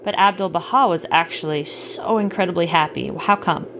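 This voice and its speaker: A middle-aged woman chatting casually with a child at bedtime, gently explaining things and checking in with questions. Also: lowish voice, moderate pace. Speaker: parent hosting a bedtime story podcast. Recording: clearly noisy home recording.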